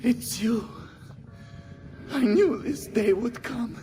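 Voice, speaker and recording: High-pitched, doomed, pleading man. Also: takes it slowly, heavy background noise. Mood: fearful pleading. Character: doomed, frightened man begging his killer for mercy. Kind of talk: dramatic video game cutscene acting.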